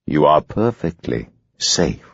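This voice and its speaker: A man voicing a male hypnotist, giving soothing, slow, insistent commands. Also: a bass voice, very animated, no room sound, lo-fi sound, heavily acted.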